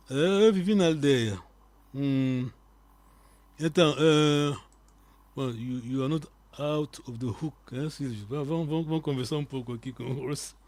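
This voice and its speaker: A man teaching a live online language lesson, quizzing his students and repeating key words slowly so they stick. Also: a little high, no echo.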